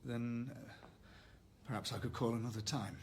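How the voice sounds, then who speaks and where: A man's voice: medium pitch, fairly even intonation, normal speed, darkish tone, slight background noise, lightly acted. A man acting in a radio drama as a polite, hesitant hospital visitor. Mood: polite, uneasy. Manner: hesitant, courteous.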